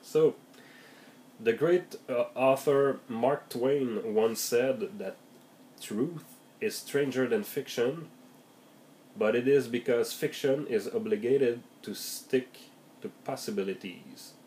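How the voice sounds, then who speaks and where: A man with a heavy cold, his voice raspy, talking casually and hesitantly with many fillers as he shares tips for game masters. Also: mid-range voice, slow, a little mellow, slight background noise, low-quality recording. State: has a heavy cold, voice deeper and raspier than usual. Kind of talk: casual vlog monologue.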